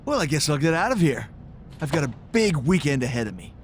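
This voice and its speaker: Smug two-faced wrestler guy from a video game, sarcastic, voice a little high. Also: very animated, a little fast, noisy background. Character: smug, insincere, scheming male star wrestler. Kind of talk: scripted video game cutscene acting.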